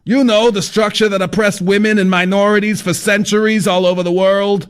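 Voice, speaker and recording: A man's voice: high voice, fairly even intonation, brisk, smooth, pitched above the performer's own voice, close to an everyday voice. A man voicing a rotund, pushy writing mentor who talks in a showy, theatrical way.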